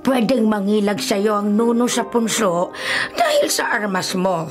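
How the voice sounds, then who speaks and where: A woman's voice: mid-range voice, very animated, a little slow. A woman playing an anxious older village folk healer, urgent and foreboding, in a Filipino radio drama. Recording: dramatic music under the voice.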